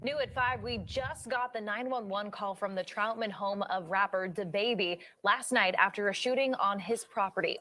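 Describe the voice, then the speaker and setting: An American woman reads a scripted TV news report. Her voice is crisp-edged and bright at a medium pitch, in the formal delivery of an anchor. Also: moderate pace, hardly any pauses.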